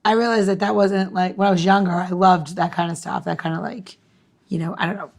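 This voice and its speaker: A woman's voice: on the low side, brisk, gravelly. A woman co-hosting a podcast, telling casual stories animatedly and using "like" a lot. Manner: lots of "like", animated storytelling.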